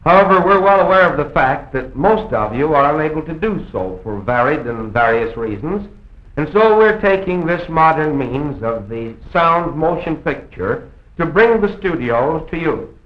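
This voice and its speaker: A man giving a formal scripted welcome as a radio host, with courteous, measured phrasing in a mid-century broadcast manner. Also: on the high side, expressive. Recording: echoey, dull old film soundtrack.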